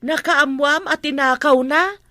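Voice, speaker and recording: A fussy village mother played by a woman who scolds in a bright, fairly high voice. The recording is close and dry. It suits a homemade comedy drama. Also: measured pace, no room sound. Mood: scolding.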